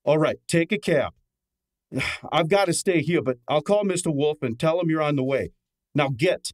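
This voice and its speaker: A man's voice: fairly high pitch, normal speed, dry recording, clean studio sound, lightly acted. A man playing a brash, wisecracking detective's assistant and narrator in a snappy old-time radio drama style. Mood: urgent, commanding. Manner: snappy, hard-boiled, wisecracking.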